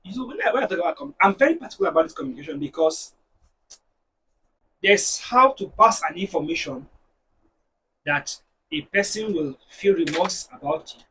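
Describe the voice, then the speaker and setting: A man with a Nigerian accent, a married guest on a discussion show, talking in an animated, preachy way and telling stories to make his points. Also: a little high, fairly quick, pauses often, far from the mic, slight background noise, poor audio. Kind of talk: casual panel discussion.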